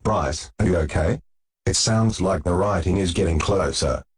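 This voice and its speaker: Guy playing a serious news anchor, deep voice, formal, kinda noisy recording. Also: normal speed, lightly acted. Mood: concerned. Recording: noisy track with clicks.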